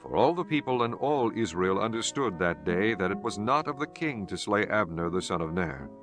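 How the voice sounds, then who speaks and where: A man's voice: lowish voice, sing-song, normal speed. A man narrating a dramatized scripture audiobook in a scripted, formal reading style. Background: instrumental music.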